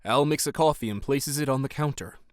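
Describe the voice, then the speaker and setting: A man's voice: mid-range voice, a little fast, no room sound, clean studio sound, natural voice. A man narrating an audio drama, reading scripted scene action in the present tense.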